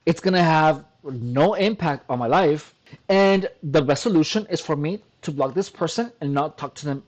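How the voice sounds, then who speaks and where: A man with a fairly high-pitched voice talks straight to his audience in a casual monologue. He is emphatic and keeps circling back, often breaking in with "look" and starting his sentences over. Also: darkish tone, low-quality recording. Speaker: channel host. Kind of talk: casual talking-to-camera monologue.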